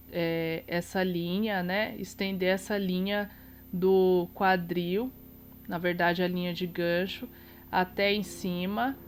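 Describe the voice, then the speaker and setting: A Brazilian woman with a congested, nasal voice from a cold, calmly explaining a sewing tutorial step by step while she works, correcting herself now and then. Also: medium pitch, slight background noise. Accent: Brazilian Portuguese. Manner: calm, explains while working, small self-corrections. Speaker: sewing teacher.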